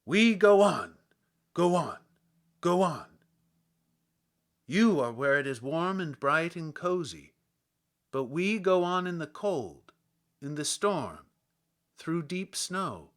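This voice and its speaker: Man voicing weary wanderers, loud slow chanting lament. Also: high-pitched, sing-song, pitched above the performer's own voice, exaggerated voice. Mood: bitter, insistent. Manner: rhythmic, declamatory chanting.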